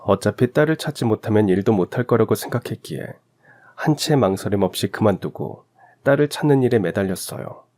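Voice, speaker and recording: A man's voice: on the low side, animated, fairly quick, a little mellow. A man reading a scripted first-person story as a radio narrator.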